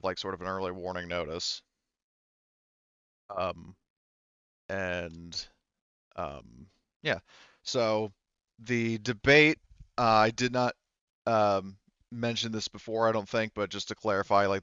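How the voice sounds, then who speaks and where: A man casually running a tabletop roleplaying game as game master, halting and thinking aloud as he goes. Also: fairly low pitch, a bit bright, smooth, no room sound, dull audio.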